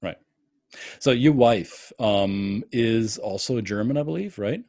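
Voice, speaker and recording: Male host, low voice, mellow tone, musing questions, dry close sound. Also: lively intonation, slow pace, no echo. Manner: musing, loosely phrased questions. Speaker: podcast host. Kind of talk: interviewing a guest.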